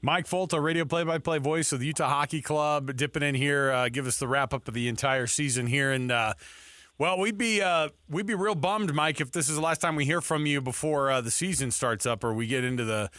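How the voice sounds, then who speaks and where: A man's voice: a little high, steady tone, brisk, sharp tone, dry recording. Dry-studio American male sports host. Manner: informal, chummy. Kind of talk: casual sports talk radio chat. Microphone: close studio microphone.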